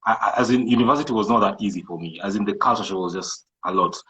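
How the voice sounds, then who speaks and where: A Kenyan-accented man from the coast whose first language is Swahili, speaking in a fairly low voice. He talks in a measured, thoughtful way, often restarting his sentences as he works through his answer. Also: bright, forceful tone, rough recording. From Kenyan coast, Malindi. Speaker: guest entrepreneur. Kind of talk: casual interview talk.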